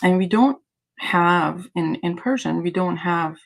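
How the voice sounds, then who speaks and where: A woman's voice: lowish voice, measured pace, gravelly. A woman from Iran whose native language is Azeri, hosting a casual livestream chat in a hesitant, rambling way. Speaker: livestream host.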